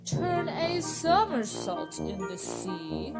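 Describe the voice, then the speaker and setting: A woman playing a mournful old turtle creature in a radio play, with a ponderous, drawn-out, sighing delivery. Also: a little high, sing-song, creaky, over loud music, full character voice, low-quality recording. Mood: wistful, ponderous.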